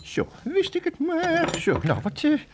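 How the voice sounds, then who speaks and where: A man playing an excitable, absent-minded elderly professor in a children's audio drama, fussy and stammering, repeating his words. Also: very high-pitched, measured pace, heavy background noise, exaggerated voice. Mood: cheerful, fussy.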